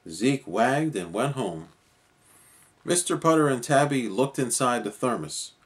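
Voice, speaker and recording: Animated, unhurried man reading a children's story. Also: mid-range voice. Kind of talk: expressive children's story narration.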